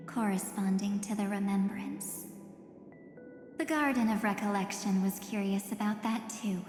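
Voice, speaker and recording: A woman voicing a composed, enigmatic young woman in a video game, with a knowing, teasing manner. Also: fairly high pitch. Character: composed, enigmatic young woman who knows hidden truths. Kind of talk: scripted video game voice acting. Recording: echoey, long reverb, loud music behind.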